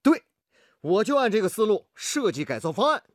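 A man's voice: high-pitched, big pitch swings, brisk, no room sound, clean studio sound. A male actor playing a stubborn, inventive steel-mill technician and mentor in a Chinese radio drama. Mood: keen, explanatory.

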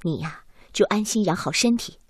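An actress playing a caring, teasing young woman researcher in a Chinese radio drama, expressive and affectionate. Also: medium pitch, normal speed, breathy edge, no echo, studio quality, close to an everyday voice. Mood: gently teasing. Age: young adult.

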